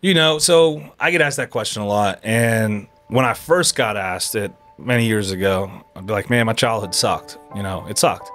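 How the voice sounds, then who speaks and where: A young man from Erie, Pennsylvania, chatting casually as a podcast guest, animated and full of dude and bro. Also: lowish voice, moderate pace, few pauses, faint music behind. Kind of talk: casual chat.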